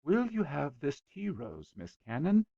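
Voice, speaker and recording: A man's voice: fairly high pitch, sing-song, vocal fry, dry recording, lo-fi sound, pitched above the performer's own voice, full character voice. A man voicing a bashful, lovesick young man who stammers and halts. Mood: flustered stammering. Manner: stammering, halting with fillers.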